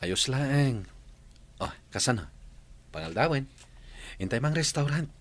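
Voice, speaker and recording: A man's voice: mid-range voice, sing-song, measured pace. An actor playing a friendly but firm man in a radio drama. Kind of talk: Ilocano radio drama acting.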